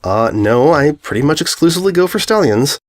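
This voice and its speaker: A man's voice: a little high, very animated, fairly quick, voice pushed up. Man voicing a breezy, oblivious stallion pony. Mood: sheepish.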